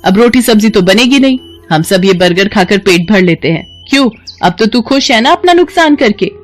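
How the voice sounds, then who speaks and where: A woman's voice: medium pitch, lively intonation, normal speed, soft background music, lightly acted. Woman as a scolding mother-in-law. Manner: taunting, scolding. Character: scolding older mother-in-law, sharp-tongued. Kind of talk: cartoon voice acting.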